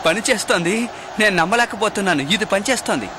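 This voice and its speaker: A man's voice: high-pitched, expressive, fast talker, noisy background. A man playing an earnest, determined teenage boy who races dragons in a dubbed cartoon. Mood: amazed. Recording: loud music under the voice.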